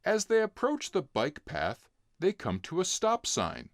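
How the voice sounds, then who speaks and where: A man narrating a children's storybook in an expressive read-along style. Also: high-pitched, measured pace, no echo, clean studio sound. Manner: expressive, clearly acting out dialogue. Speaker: narrator reading a children's book.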